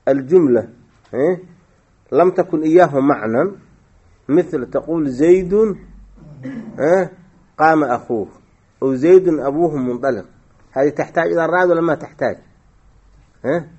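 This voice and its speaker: A husky-voiced man teaching a grammar class, his pitch rising and falling a lot as he explains. He keeps checking on his students with quick questions and repeats key phrases slowly. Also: a little mellow.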